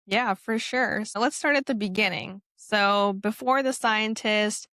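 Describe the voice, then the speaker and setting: A woman co-hosting a podcast, giving a polished, clear scripted introduction and then asking interview questions. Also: medium pitch, unhurried, bright, forceful tone, dry recording, clean studio sound. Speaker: podcast co-host.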